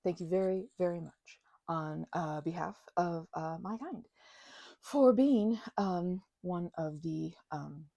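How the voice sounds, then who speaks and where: A shy, apologetic vampire woman played by a voice actress speaks in a low, mellow voice with a creaky edge. She stammers and trips over her words in a nervous, grateful audio roleplay, recorded close and dry. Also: no echo, exaggerated voice. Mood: awkward, grateful. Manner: stammering, halting, nervous laughter, rambling apologies. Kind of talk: audio roleplay addressed to the listener.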